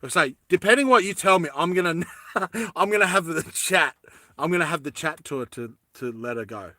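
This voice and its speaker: A man's voice: on the high side, expressive, fairly quick, pushed and bright, no room sound, studio quality. A man hosting a casual comic chat, talking in a slangy, teasing way. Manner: slangy, teasing, laughs often. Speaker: host.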